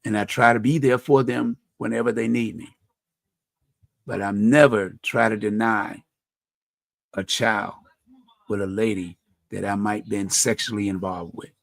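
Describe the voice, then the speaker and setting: A middle-aged man with a deep voice, hosting a community radio talk show and speaking earnestly about faith and helping others, often restarting phrases. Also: steady tone, a little slow, vocal fry, long pauses, dry recording. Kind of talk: reflective personal talk.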